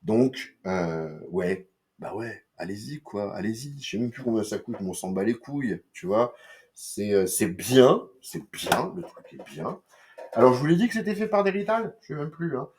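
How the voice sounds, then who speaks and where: A man's voice: mid-range voice, animated, moderate pace, a little mellow. A man from France giving a casual, rambling comics review, full of fillers, slang and swearing.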